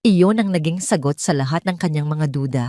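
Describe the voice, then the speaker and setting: A woman's voice: low-pitched, fairly quick, warm tone, no echo, clean studio sound. A woman with a Filipino accent reading a scripted dramatic story as its narrator.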